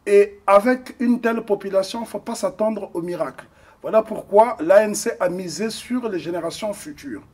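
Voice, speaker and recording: A man from the Republic of the Congo speaks as an interview guest, with a high voice and a husky grain. He argues his points emphatically, stumbling over fillers as he goes. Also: moderate pace, darkish tone. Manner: emphatic, hesitant with frequent fillers. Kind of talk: impassioned political commentary.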